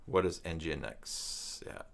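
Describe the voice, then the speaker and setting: A man's voice: a bass voice, animated, drawn-out words, gravelly, fairly bright tone. A middle-aged man hosting a casual live stream, rambling and thinking aloud as he reads and chats with viewers. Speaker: live stream host, self-employed web tutor.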